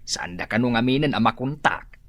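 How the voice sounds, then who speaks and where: Elderly grumbler for radio comedy-drama, deep for a woman, animated intonation, parent of grown children. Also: brisk, heavily acted. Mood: complaining. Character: grumbling old parent of two grown daughters.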